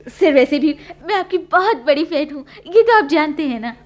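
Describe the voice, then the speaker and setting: Eager aspiring starlet, high-pitched. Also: female voice, expressive, moderate pace. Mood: hesitant, pleading. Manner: hesitant, respectful, coaxing. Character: eager, deferential aspiring actress, flattering and wide-eyed. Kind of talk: Hindi radio play acting.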